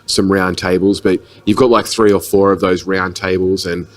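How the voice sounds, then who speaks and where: A man's voice: low voice, level tone, moderate pace. An Australian man hosting a podcast, chatting in a rambling, joking, laid-back way in a pub. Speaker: podcast host. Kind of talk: casual chat. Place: pub with stools and round tables.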